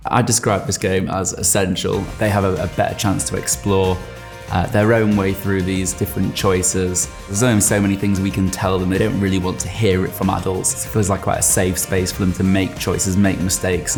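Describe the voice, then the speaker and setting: A man gives measured interview reflections in a very low, gravelly voice. The intonation stays fairly flat, and light music plays behind him.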